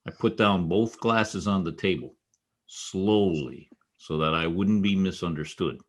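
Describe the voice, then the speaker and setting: A man's voice: sits low, unhurried. An American man playing a tough, wry private detective in a 1940s radio noir style, clipped and slangy. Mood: dry, grim. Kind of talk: 1940s radio noir acting with first-person narration.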